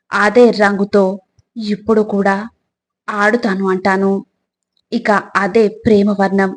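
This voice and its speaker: A woman reading scripted motivational reflections in a measured, instructive way, addressing the listener directly. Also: mid-range voice. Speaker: motivational quotes narrator.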